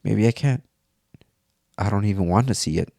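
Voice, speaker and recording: A man's voice: lowish voice, normal speed, vocal fry, warm tone, no echo, clean studio sound. A man narrating a scripted first-person horror story as if it were his own account.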